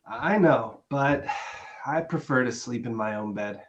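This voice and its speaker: A man's voice: medium pitch, a little slow, lo-fi sound, close to an everyday voice. A man playing an affable, self-satisfied businessman and boyfriend in a dramatic play reading. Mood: affectionate.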